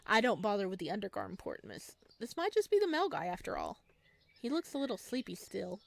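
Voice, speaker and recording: Casual, expressive woman reading a game aloud. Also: mid-range voice, fast, creaky. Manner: casual, expressive, jokey asides. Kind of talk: visual novel read-aloud with casual commentary.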